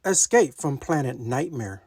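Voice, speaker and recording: A woman reading a children's adventure story aloud as scripted narration, with a dramatic, expressive delivery. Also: extremely low pitch, a little slow, breathy edge, no room sound, clean studio sound, soft background music. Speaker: storybook reader.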